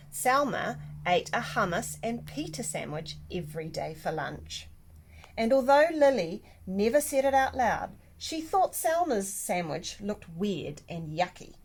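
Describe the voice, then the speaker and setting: A woman reading a children's picture book aloud like a teacher, with deliberate, expressive emphasis. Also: medium pitch, husky. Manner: animated, deliberate, with dramatic emphasis.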